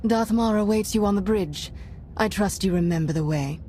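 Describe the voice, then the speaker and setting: A woman's voice: medium pitch, normal speed, warm tone, a little hiss or hum, close to an everyday voice. A woman playing a courteous, respectful warship captain in a video game, with a formal, deferential way of speaking. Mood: polite welcome. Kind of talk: video game cutscene acting.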